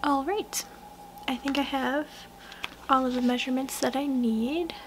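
A young woman speaking softly, often in a whisper, in a gentle, unhurried ASMR personal-attention roleplay, murmuring close to the listener. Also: high-pitched, steady tone, slight background noise, rough recording. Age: young adult. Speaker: ASMR artist roleplaying a portrait painter.

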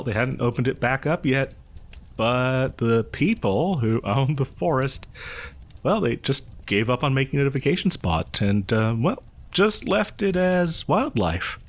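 A man's voice: mid-range voice, fairly bright tone. Man acting as hesitant, friendly, awkward young local guy. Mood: casual, darkly amused. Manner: hesitant, rambling, nervous chuckles. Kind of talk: roleplay audio horror storytelling, conversational.

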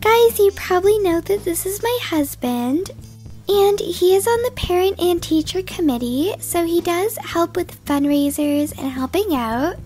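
Very high-pitched, cheerful schoolteacher played by a young-sounding female. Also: animated, a little slow, darkish tone. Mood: cheerful, instructive. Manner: upbeat, instructive, addressing young children. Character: cheerful, kind woman schoolteacher running a class party. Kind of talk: children's animated roleplay video voice-over. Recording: music behind the voice.

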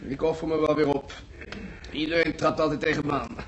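A man's voice: on the high side, fairly even intonation, a little fast, dull audio, slight background noise, close to an everyday voice. Actor as cranky, whining male patient. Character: grumbling, self-pitying, needling male hospital patient. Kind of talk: radio play acting.

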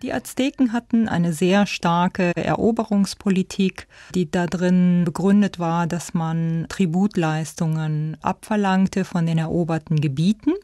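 A woman's voice: lowish voice, fairly even intonation, unhurried, few pauses, mellow, dry recording, studio quality. A woman speaking as an expert, explaining things in unscripted interview style. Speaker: expert commentator.

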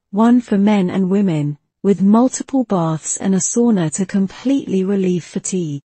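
A woman narrates a travel video in a steady, informative way. Her voice is mellow and slightly husky. The recording is close and dry. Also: mid-range voice, no echo. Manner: even, informative reading tone. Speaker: travel vlogger.

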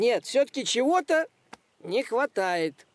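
A high-pitched, drawling cartoon animal. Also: male voice, big pitch swings, measured pace, background noise, full character voice. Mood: advising.

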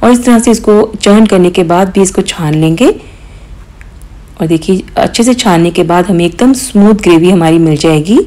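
A woman hosting a cooking channel, calmly explaining each step of a recipe in voice-over, with occasional small hesitations. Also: lowish voice, normal speed. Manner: calm step-by-step instruction with mild hesitations.

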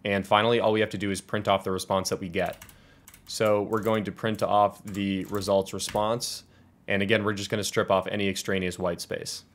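Low-voiced young American man explaining code. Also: level tone, moderate pace. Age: 20s. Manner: explanatory, step-by-step. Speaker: tutorial presenter. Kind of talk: scripted software tutorial walkthrough.

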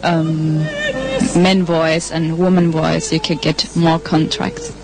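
A young woman with a slightly low voice explains things in hesitant English, pausing often. Music plays clearly in the background. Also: flat delivery. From Finland. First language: Finnish. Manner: hesitant, filler-heavy non-native English. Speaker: singer studying singing. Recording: interview with music behind.

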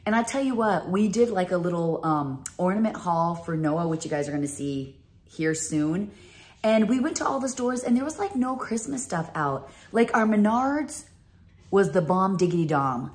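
A woman's voice: lowish voice, expressive, normal speed, a little mellow, husky. A woman in her fifties chatting to her phone camera in a home kitchen, gushing over decorations in a casual vlog. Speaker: vlogger. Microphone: phone held at arm's length.

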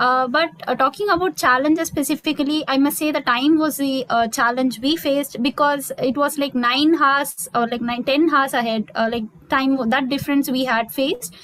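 A woman's voice: sits high, fairly even intonation, moderate pace, hardly any pauses, fairly bright tone, dry recording, low-quality recording. A woman student answering on a video call panel, formal and hesitant with many fillers.

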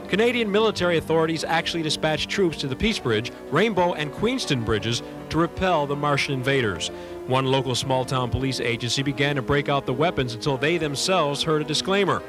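A man reading scripted documentary narration in the role of narrator. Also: medium pitch.

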